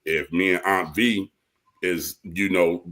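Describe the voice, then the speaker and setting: A man from Ohio, a stage-play cast member, talking in a relaxed, rambling way on a podcast. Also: deep voice, takes it slowly. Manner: relaxed, rambling, loose phrasing. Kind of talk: casual podcast chat.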